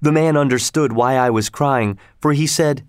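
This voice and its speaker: A man's voice: medium pitch, moderate pace, smooth, dry recording, studio quality, natural voice. A man voicing a frightened, earnest young woman opera singer in a dramatic storybook reading. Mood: troubled.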